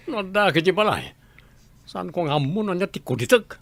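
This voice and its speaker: A man's voice: sits high, expressive, normal speed, fairly bright tone, no room sound. A man acting a selfish, grumbling husband with a roving eye, in an Ilocano-accented radio comedy drama. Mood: smug.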